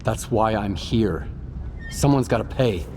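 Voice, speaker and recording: An embittered lone wanderer in a video game. He speaks lowish, curt and guarded, with faint noise behind the voice. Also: expressive, a little slow, warm tone. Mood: bitter. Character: terse, embittered loner out for payback. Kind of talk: dramatic video game dialogue.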